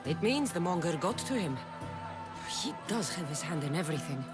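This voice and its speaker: A woman's voice: lowish voice, big pitch swings, moderate pace, music in the background. A woman playing a tough, protective young mercenary in a video game, dry and defiant. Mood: stern, reassuring.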